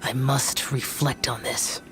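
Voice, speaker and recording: A woman's voice: very deep voice, little pitch movement, slow, fairly bright tone, a little hiss or hum, heavily acted. A woman voicing an ancient, stoic male demon-slaying guardian in a video game, solemn, formal and terse. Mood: somber, weary. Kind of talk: video game character acting. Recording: faint game music behind the voice.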